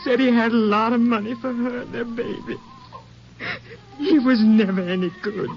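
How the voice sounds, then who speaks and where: A woman's voice: a little high, moderate pace, natural voice. An actress playing an older, bitter, grieving mother in a 1950s radio drama. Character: bitter, grieving old mother of a murdered daughter. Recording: muffled, noisy vintage radio recording.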